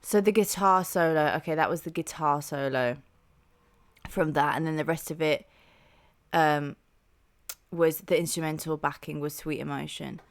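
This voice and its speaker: Chatty young woman, soft lowish voice. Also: normal speed. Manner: chatty and enthusiastic, heavy use of "like" and "um". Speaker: music reaction channel host. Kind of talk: casual reaction commentary.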